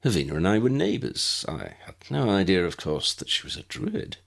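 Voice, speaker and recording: A man's voice: low-pitched, huge pitch swings, moderate pace, gravelly, exaggerated voice. A man voicing a courteous, modest, slightly bumbling fellow in a story, polite and explanatory. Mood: apologetic, explanatory.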